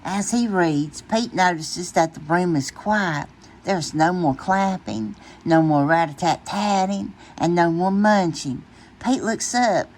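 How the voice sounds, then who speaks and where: A woman reading a children's bedtime story aloud in an expressive, deliberate way. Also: on the low side. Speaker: storytime reader.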